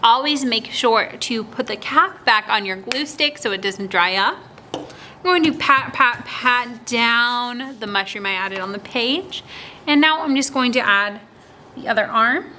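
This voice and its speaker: A woman with a high, bright voice leads a story time for young children. She talks in an animated, child-directed way, posing questions and answering them as she goes. There is some steady background noise in the recording. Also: unhurried. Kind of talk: read-aloud and craft instruction for young children.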